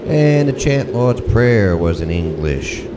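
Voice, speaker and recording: A man's voice: on the low side, very animated, takes it slowly, heavy background noise. A man hosting a church music show, giving an easygoing, folksy rundown of the hymns and chants used at recent Masses. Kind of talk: casual spoken rundown of liturgical music.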